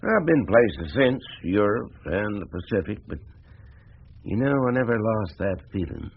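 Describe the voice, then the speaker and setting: Older American small-town businessman, very gravelly, animated, homespun, proud, radio play, slightly muffled sound. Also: on the low side, unhurried, full character voice. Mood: proud, nostalgic. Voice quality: gravelly, husky. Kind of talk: radio drama acting.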